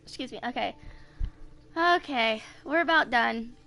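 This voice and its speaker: A woman's voice: on the high side, a little slow, vocal fry, bright, forceful tone, slight background noise. A woman in her twenties hosting a gameplay video, talking casually and reading game text aloud. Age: 20s. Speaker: gaming video host.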